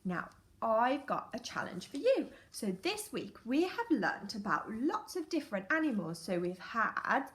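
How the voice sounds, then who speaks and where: A woman's voice: fairly high pitch, sing-song, measured pace, a bit bright. A woman teacher reading a picture book to young children, telling the story expressively and putting questions directly to her listeners. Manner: questioning, addressing children directly, clearly paced. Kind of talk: animated children's storytelling and lesson. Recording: echoey room.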